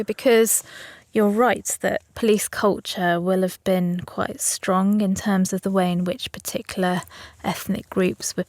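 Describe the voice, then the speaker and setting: Even-toned female academic, dry sound. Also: medium pitch, dry recording. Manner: measured, careful, academic. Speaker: academic expert interviewee. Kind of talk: explanatory interview.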